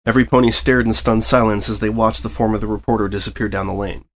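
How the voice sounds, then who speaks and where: A man reading fan fiction as narrator, in an expressive scripted storytelling style. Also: lowish voice, quick pace, a little hiss or hum.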